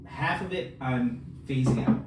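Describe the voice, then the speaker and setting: A man's voice: medium pitch, unhurried. A man co-hosting a casual podcast, talking in an informal, joking, bantering way. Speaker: podcast co-host. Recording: roomy, distant mic, clearly noisy.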